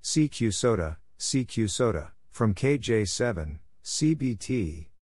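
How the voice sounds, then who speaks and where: A man reads scripted radio exchanges for a practice drill. His delivery is very slow and deliberate, with short repeated phrases. The voice is somewhat husky, and the sound is very dry and close. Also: lowish voice, big pitch swings, darkish tone, no room sound. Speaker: narrator of Morse code practice drills.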